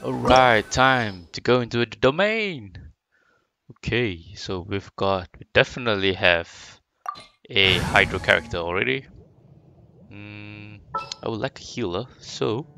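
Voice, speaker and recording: A man giving casual, low-key, muttered gameplay commentary. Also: expressive, slow pace, vocal fry, noisy background. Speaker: gamer. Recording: game music behind voice.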